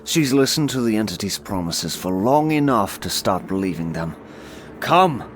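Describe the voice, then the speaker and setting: A man's voice: fairly low pitch, animated, normal speed, a little hiss or hum, pitched below the performer's own voice, natural voice. A man voicing a wary, cynical, impatient demonologist with a curt, knowing manner in a fantasy audiobook. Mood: urgent, knowing.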